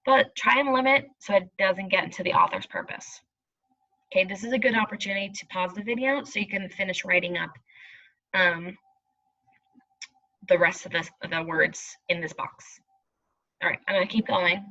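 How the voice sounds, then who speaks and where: A woman's voice: medium pitch, moderate pace, pauses often, sharp tone. A woman teaching young pupils, reading aloud and giving reassuring instructions with frequent checking questions, on a slightly muffled recording. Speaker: elementary school teacher.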